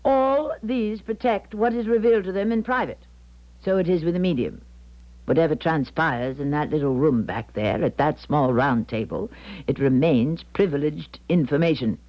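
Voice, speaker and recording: An actress playing a weary, proud medium in a 1970s radio drama, speaking formally and with precise, dramatic diction. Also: female voice, low-pitched, moderate pace, close to an everyday voice. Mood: firm, guarded. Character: weary, proud female clairvoyant medium, theatrical and firm. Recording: dull 1970s radio broadcast recording.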